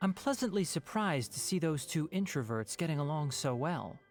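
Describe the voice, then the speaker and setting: Casting: actor for sensible, dry-witted young video game scholar, expressive rise and fall in pitch. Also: male voice, moderate pace, soft background music, lightly acted. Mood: thoughtful. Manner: dry, articulate, matter-of-fact. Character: wry, sensible young male scholar and guardian. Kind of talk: video game cutscene acting.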